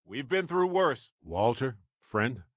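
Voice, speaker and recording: An actor playing a warm, wry, chatty space explorer companion in a video game, with an affable, teasing and theatrical delivery. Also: male voice, mid-range voice, very animated, slow pace, no room sound, studio quality. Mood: upbeat, urgent.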